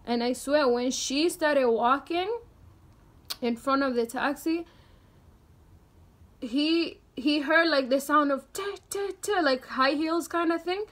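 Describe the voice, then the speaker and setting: A young woman with a notably high voice chats through a personal story like a vlogger. She is from Tanzania and talks in loose slang, leaning hard on her points with animated emphasis. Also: slow, long pauses. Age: young adult. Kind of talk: casual chatty storytelling.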